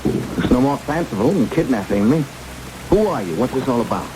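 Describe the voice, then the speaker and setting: A man's voice: medium pitch, expressive, brisk, close to an everyday voice. A man playing a guarded, stubborn government courier in a vintage radio drama, with a dry, skeptical manner, on an old broadcast. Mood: defiant, dry. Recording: old radio broadcast under heavy rain noise.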